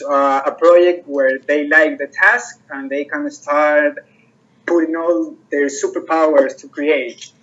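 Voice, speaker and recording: A man, the project owner, talking about his project in a casual interview and filling pauses with lots of "uh"s. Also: a little high, a bit bright, lo-fi sound. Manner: hesitant, many fillers and restarts.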